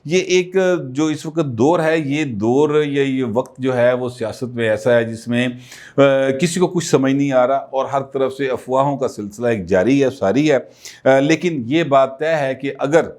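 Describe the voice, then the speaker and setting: Man, political commentary, chatty, lots of um pauses, mid pitch. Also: a little mellow. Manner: conversational, many filler pauses.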